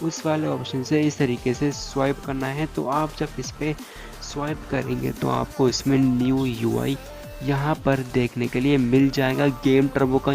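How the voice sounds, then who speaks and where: A man's voice: mid-range voice, gravelly, darkish tone. A man giving a step-by-step phone tutorial as a YouTuber, repeating instructional phrases and mixing in English tech terms.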